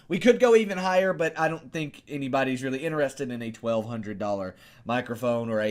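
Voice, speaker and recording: A young American man with a fairly high voice talks straight to his viewers into a close desktop condenser mic. He is informal and rambling, often posing questions to himself as he gives his review. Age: young adult. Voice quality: higher-register voice, not deep or bassy. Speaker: YouTube host reviewing microphones. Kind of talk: casual video review, chatty to camera. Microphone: desktop condenser studio microphone, close up.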